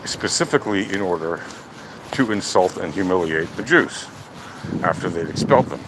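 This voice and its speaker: A man giving opinionated, off-the-cuff political commentary in a deliberate, emphatic, argumentative manner. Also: fairly low pitch. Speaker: speaker of a political monologue. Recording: loud outdoor traffic or engine noise.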